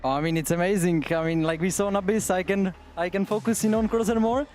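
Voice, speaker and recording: Relaxed young male player with a high voice, casual post-match answers. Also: quick pace, soft background music. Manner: relaxed, laughing, repeats "you know". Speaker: pro esports player.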